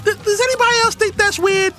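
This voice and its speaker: A man's voice: very high-pitched, fairly even intonation, fast, sharp tone. An actor playing a firm, protective older brother, a young man in scripted audio drama family banter. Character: protective older brother who refuses negativity. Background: music.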